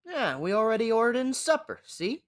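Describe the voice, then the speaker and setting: A woman's voice: a little high, big pitch swings, normal speed. A woman playing a breezy, oblivious teenage child of a mobster in a comic radio play.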